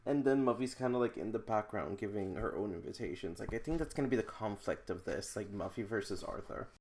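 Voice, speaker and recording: A man's voice: fairly low pitch, expressive, a little fast, a little mellow. A man chatting casually as he reacts to books, with playful, incredulous commentary and slangy asides. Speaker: book vlogger. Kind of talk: casual reaction read-along chat.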